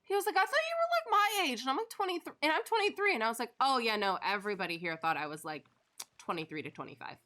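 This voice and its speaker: Bright, chatty woman streamer in her thirties. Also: sits high, very animated, fast talker. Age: 30s. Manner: chatty storyteller, swears casually, laughs often. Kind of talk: casual chat while gaming.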